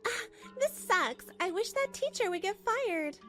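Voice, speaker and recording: A woman's voice: very high voice, animated, a little slow, a bit bright, soft background music, pitched above the performer's own voice, heavily acted. A woman voicing a bratty, contemptuous sixteen-year-old schoolgirl in a manga dub, snotty and dismissive. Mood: irritated.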